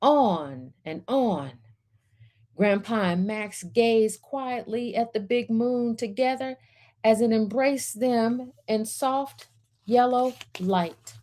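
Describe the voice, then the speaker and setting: Woman, storytime host and reader. Soft-edged mellow tone, unhurried pace, measured phrases with pauses. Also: a little high. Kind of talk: gentle guided centering and picture book read-aloud.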